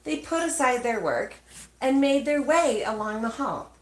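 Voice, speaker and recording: A woman reading a children's storybook aloud expressively, with measured, dramatic phrasing and pauses. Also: high-pitched, animated. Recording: echoey, roomy sound.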